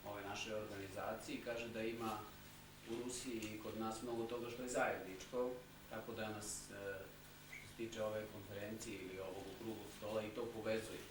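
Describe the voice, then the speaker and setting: A man's voice: fairly low pitch, level tone, fairly quick. A man interpreting consecutively at a conference, summarizing the speaker loosely in his own words. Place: conference hall. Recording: very echoey hall, distant mic, audible hiss.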